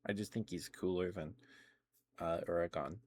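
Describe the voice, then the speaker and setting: Male streamer, lowish voice, casual ASMR rambling, hesitant, self-correcting. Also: steady tone, normal speed, a little mellow, a little hiss or hum. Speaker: live streamer. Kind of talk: casual rambling ASMR chat about video games.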